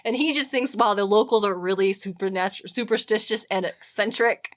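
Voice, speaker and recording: A woman podcast host telling stories in a casual, comic chat, animated and emphatic, with plenty of swearing. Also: medium pitch, fairly quick.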